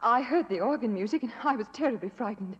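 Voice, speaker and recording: A woman's voice: fairly high pitch, lively intonation, quick pace, close to an everyday voice. An actress playing an anxious, well-bred young lady of the manor, earnest and polite, in dramatic 1940s radio style. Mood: alarmed. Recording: dull, reverberant old radio recording.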